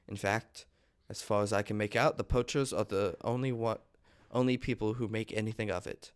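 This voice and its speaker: Polite young gentleman suitor read by a man, deep clear voice, nervous and deferential, stage comedy read-through. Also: fairly even intonation, moderate pace, close to an everyday voice. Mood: earnest, hesitant. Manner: deferential, hesitant, formal. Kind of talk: amateur table reading of a stage comedy.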